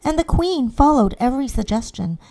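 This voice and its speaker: A woman's voice: high-pitched, lively intonation, fairly quick, vocal fry, warm tone. A woman reading a scripted fairy-tale narration as the storyteller.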